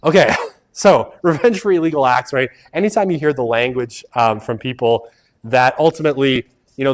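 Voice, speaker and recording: A male university lecturer talks to his class in a relaxed, rambling way. He keeps checking in with "right?" and throws in plenty of "um"s. Now and then he punches a word out loudly before sliding back into casual talk. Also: medium pitch. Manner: loose and conversational, lots of "right?" and "um"; animated, swings to loud emphasis and mutters asides. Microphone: wireless lavalier mic.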